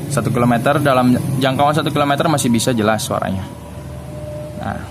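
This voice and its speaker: A man reviews a product in an informal, explanatory way. His voice sits at a middling pitch. The recording carries clear background noise. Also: brisk. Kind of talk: casual spoken product demonstration.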